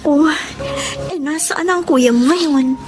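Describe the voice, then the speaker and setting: A woman's voice: high-pitched, slow, music in the background. An actress playing a frank, teasing young woman with a caring streak in a Tagalog radio drama, playful and direct. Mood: concerned.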